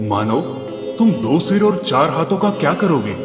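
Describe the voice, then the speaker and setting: A man voicing a calm, formal male tree deity in an animated storybook tale, solemn and stately. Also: a little high, normal speed, reverberant, long reverb tail, music in the background. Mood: solemn, benevolent.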